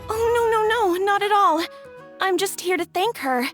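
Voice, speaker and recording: A woman playing an earnest, grateful young bride-to-be in a video game, telling things gently and a little hesitantly. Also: extremely high pitch, animated, moderate pace, music in the background. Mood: eager, grateful. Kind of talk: video game character acting.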